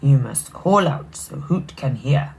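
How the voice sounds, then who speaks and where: A woman's voice: extremely low pitch, lively intonation, takes it slowly, creaky, a little mellow, husky, voice pushed down, heavily acted. A woman voicing a wise old owl giving firm, encouraging advice in a bedtime story.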